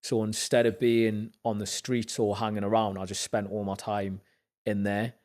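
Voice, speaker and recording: A young man with a Newcastle accent tells his story as a podcast guest, his voice sitting on the low side. He brings the story to life by acting out what other people said and lifts his pitch when he gets excited. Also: moderate pace. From Zambia. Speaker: podcast guest, actor and musician. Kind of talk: casual storytelling chat.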